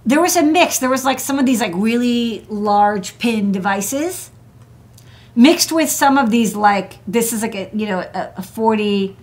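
A woman's voice: fairly high pitch, a little slow. A woman in her thirties, an electronics engineer hosting a desk show, walking through parts in a casual, chatty way with many fillers and restarts, seated at her workshop desk. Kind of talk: casual technical walkthrough.

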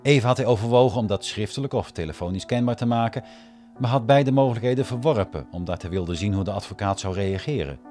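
A man's voice: on the low side, fast, mellow, faint music behind. A man narrating a radio drama from a script in formal storytelling prose.

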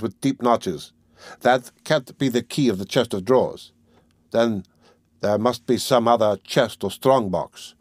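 Guy playing a tense broke young man, terse and guarded, broods a lot, mid-pitched voice, dry sound. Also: darkish tone, no echo.

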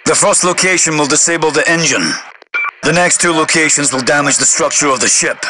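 Higher-pitched military commander on radio. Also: male voice, brisk, over music, low-quality recording. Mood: businesslike, commanding. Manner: clipped, commanding. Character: stern British military commander giving mission orders over radio. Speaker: mission control commander. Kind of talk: scripted video game military radio dialogue.

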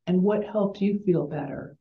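An older woman with an American accent giving a gentle chapel talk to schoolchildren as a chaplain, in simple phrases, over a video call from a small room. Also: on the low side, moderate pace. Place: small home room with bookshelves. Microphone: computer mic on video call. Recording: roomy, dull video-call sound.

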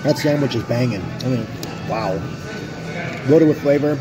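A man's voice: mid-range voice, moderate pace, loud music behind the voice, rough recording. A man, casually reviewing food on a phone at arm's length in a pub. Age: 30s. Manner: upbeat, chatty, rambling with fillers. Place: booth in a pub restaurant. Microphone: phone held at arm's length.

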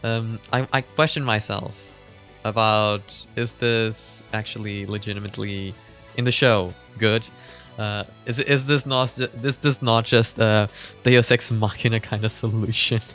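A man in his twenties giving casual reaction commentary, hesitant and halting, on a close mic. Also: fairly low pitch, steady tone, fairly bright tone, faint music behind. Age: 20s. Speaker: reaction video host. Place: small home room. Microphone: close studio mic on boom arm.

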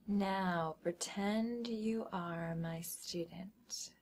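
Very slow whispering woman, commanding and hypnotic. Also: medium pitch, slight background noise. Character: smug, controlling young schoolgirl hypnotist. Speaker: ASMR role-play performer. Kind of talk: whispered ASMR hypnosis role-play.

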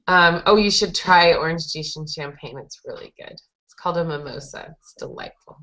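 A woman's voice: deep voice, moderate pace, sharp tone. A young woman teaching a conversational English lesson to a group online, in an encouraging way, on a headset. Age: young adult. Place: small indoor room, group video call. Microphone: headset boom mic. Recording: dull lo-fi video call headset audio.

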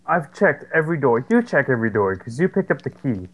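A man's voice: mid-range voice, sing-song, brisk, fairly bright tone, poor audio. Young male gamer for casual co-op horror play, sarcastic and sweary, everyday mid-range voice, headset mic. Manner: swears a lot, jokey and sarcastic. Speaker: gaming channel host. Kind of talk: casual co-op gameplay chat.

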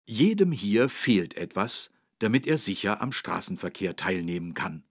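Low husky animated male narrator. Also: moderate pace, dry recording, studio quality. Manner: clearly phrased, encouraging, animated. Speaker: narrator of a children's learning game. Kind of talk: scripted narration, explaining to young children. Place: dry studio.

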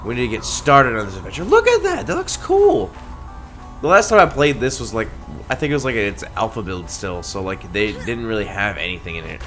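A man with a congested, nasal voice chatting excitedly while playing a game. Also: fairly low pitch. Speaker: gaming video host. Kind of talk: let's play commentary. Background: game music.

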